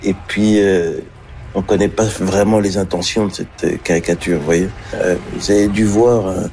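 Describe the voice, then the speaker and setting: A man answering interview questions in a hesitant way, with many pauses and fillers. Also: low voice, steady tone, dark tone, a little hiss or hum. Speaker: guest.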